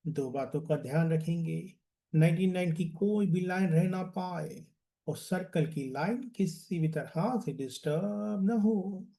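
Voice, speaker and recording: A man leads a guided relaxation as a meditation coach, soothing and deliberate, repeating phrases and letting them trail off. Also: sits high, gravelly, warm tone.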